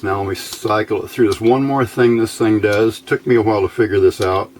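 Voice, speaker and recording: A man's voice: on the low side, fairly even intonation, a little fast, a little hiss or hum. A man giving a casual, unscripted hands-on demonstration, explaining step by step in a plain, folksy way. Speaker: hobbyist presenter demonstrating electronics test equipment.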